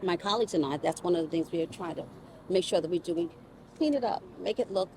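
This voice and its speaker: A woman's voice: on the low side, quick pace, dark tone. A woman in her fifties speaking emphatically in a conversational interview as a council member, repeating phrases for stress. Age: 50s. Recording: street noise with people and vehicles. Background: crowd and vehicle noise.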